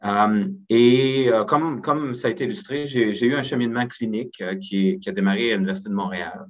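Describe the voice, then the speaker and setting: Male, 50s, Québécois accent, lowish voice, thoughtful seminar speaker with halting, measured phrasing. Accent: Québécois French. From Quebec, Canada. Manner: hesitant, measured delivery with repeated words. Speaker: health agency executive presenting a seminar. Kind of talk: academic seminar presentation, semi-spontaneous.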